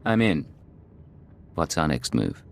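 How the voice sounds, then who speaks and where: A man's voice: a bass voice, very animated, slow pace, a little hiss or hum, exaggerated voice. An actor playing a cool, hard-edged male imperial secret agent in a video game. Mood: cool, decisive.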